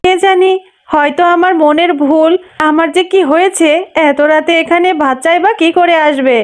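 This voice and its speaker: Woman doing nervous cartoon animal guard, super high pitch, fretty, talking to self all confused. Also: moderate pace. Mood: worried, puzzled. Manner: fretful, wondering aloud. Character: anxious, puzzled animal graveyard guard.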